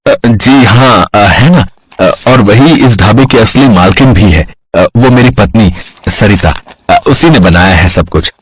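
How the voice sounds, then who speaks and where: A man's voice: lowish voice, unhurried, slightly rough, no room sound. A man playing a kindly, humble village husband and father in cartoon story dialogue. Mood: humble, polite.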